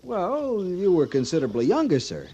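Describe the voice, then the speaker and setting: Some noise from an old radio recording surrounds an earnest cavalry lieutenant. His fairly high voice swings animatedly as he speaks, fussy, hesitant and deferential to his superior. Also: wildly swooping pitch, normal speed, warm tone, muffled recording, exaggerated voice. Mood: polite, flustered. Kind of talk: 1950s radio western acting.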